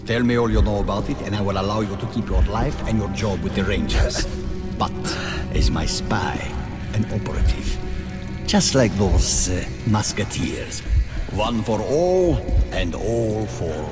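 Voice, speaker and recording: An actor playing an older, calculating general, pompous and menacingly courteous, in a video game. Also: male voice, on the low side, lively intonation, over loud music. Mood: coolly threatening. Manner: pompous, formal, deliberate.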